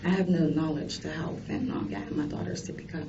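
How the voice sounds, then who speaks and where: A woman's voice: sits low, steady tone, fast talker, crowd noise. An American woman answering police questions as a suspect, defensive and firm in her denials. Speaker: suspect being questioned, a mother. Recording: distant, muffled, noisy interview room recording.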